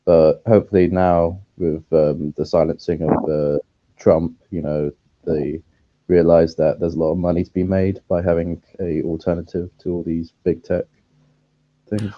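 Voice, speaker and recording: A man talking on a podcast, halting, with frequent ums and pauses. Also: low-pitched, little pitch movement, dry recording. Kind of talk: casual podcast chat. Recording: dry, slightly muffled lo-fi audio.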